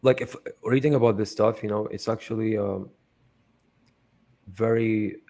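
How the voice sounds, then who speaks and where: A young man from Iraq speaks in a lowish voice, telling his story in a loose, rambling way. He fills his talk with fillers and curses casually. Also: fairly even intonation, unhurried, creaky, warm tone. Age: young adult. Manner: rambling, lots of "like" fillers, swears freely. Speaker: interview guest. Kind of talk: casual conversational interview.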